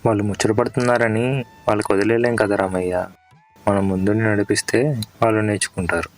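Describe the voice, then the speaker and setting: A man's voice: fairly low pitch, flat delivery, fast talker, faint music behind, natural voice. A man playing a sensible, traditional-minded village uncle in a cartoon story, firm and respectful. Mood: earnest. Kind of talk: Telugu cartoon story dialogue.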